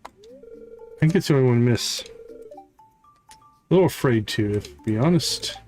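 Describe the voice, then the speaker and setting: Man, slow-paced casual game commentary, mid-pitched voice, thinking aloud, game music behind him. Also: animated, a little mellow. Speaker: live streamer, game host.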